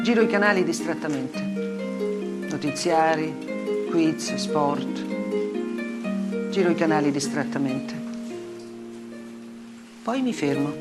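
An actress playing a grave, bitterly ironic woman journalist in a theatrical monologue, speaking deliberately in short, weighted phrases. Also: on the low side. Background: music.

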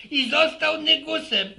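Creaky old village grandfather, high-voiced. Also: bright, forceful tone, heavily acted. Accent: rural Polish. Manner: rambling, repeats words, folksy sayings. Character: folksy old village grandfather, teasing and cautionary. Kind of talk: radio serial acting. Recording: roomy, slightly distant radio drama recording.

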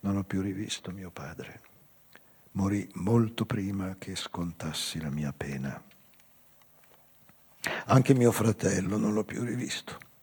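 An older male actor playing a weary, melancholy old man confessing a past crime in a halting, raspy theatrical monologue. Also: low voice, darkish tone, full character voice. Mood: mournful. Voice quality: raspy, husky, breathy. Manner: halting, slow, dramatic pauses. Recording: live music behind the voice.

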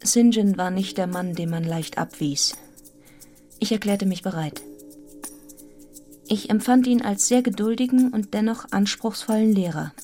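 A serious young governess, played by a woman in a literary radio drama. She speaks at a middling pitch, articulate and measured, with an inward, restrained temper as she recounts her life. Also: mellow, soft background music, close to an everyday voice. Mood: reflective, grave. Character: Jane Eyre, serious, restrained young governess narrating her own story. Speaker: actor in a radio play.

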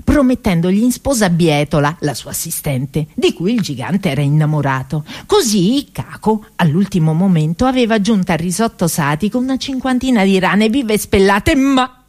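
A woman's voice: mid-range voice, expressive, normal speed, a little mellow, no echo. A woman narrating a radio play as an actress, in a dramatic, tragicomic storytelling style. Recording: music behind the voice. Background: music.

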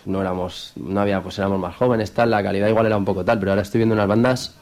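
A man with a low voice and a Spanish accent talks quickly as an interview guest in a radio studio. His chat is casual and colloquial, full of slang and repeated phrases. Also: fairly even intonation, darkish tone. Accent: Spain. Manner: colloquial, repetitive filler-heavy slang. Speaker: band vocalist and lyricist being interviewed.

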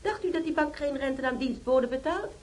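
A woman's voice: sits high, a little fast, voice pushed up, natural voice. A woman voicing her younger self in a radio play, a housemaid insisting on her overdue wages. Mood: insistent. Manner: insistent, indignant.

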